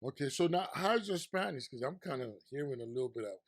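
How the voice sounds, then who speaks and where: A man with a New York accent hosting a casual interview, hyped up one moment and low and confiding the next. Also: fast talker. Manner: animated, hype, swings between loud excitement and low confiding tone. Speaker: podcast host and DJ.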